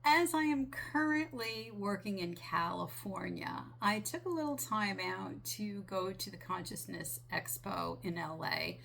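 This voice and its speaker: Slow, gravelly woman channeler, raspy. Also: medium pitch, lively intonation, slight background noise. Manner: slow, gentle, earnest with small restarts. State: raspy from a sinus infection. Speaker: spiritual channeler. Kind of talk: relaxed monologue relaying a channeled message.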